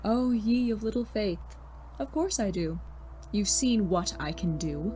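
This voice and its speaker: A woman's voice: medium pitch, unhurried, noisy background, natural voice. A woman playing a smug, bitter male chemistry teacher turned supervillain in a scripted audio drama, with a mocking, condescending, theatrical delivery. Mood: grandiose, fervent. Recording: music behind the voice.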